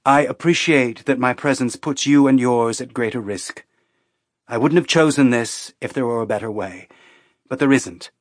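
A male narrator voices a dignified woman fugitive in a science fiction novel. She speaks in a composed, measured way and stays earnest, at a medium pitch, with no room sound. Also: no room sound, studio quality, lightly acted. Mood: calm, dignified. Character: calm, dignified woman fugitive aboard a spaceship. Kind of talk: audiobook character acting.

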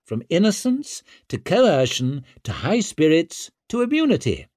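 A man voicing a sarcastic, exasperated king in an audiobook, with an incredulous, sharp-tongued manner. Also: a little high, wildly swooping pitch, measured pace, no room sound, studio quality, pitched above the performer's own voice, exaggerated voice. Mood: scornful. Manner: incredulous, sardonic.

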